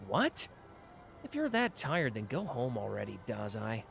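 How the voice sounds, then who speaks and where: A man's voice: medium pitch, wildly swooping pitch, a little slow, fairly bright tone, faint music behind, heavily acted. A man voicing a short-tempered but protective young man who talks curtly, in an ASMR roleplay. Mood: annoyed. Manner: gruff and curt, brusque.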